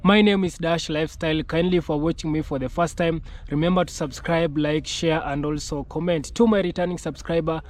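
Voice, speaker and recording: High-pitched male YouTube commentator. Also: darkish tone. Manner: halting, repetitive phrasing with frequent filled pauses. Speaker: host of a commentary channel.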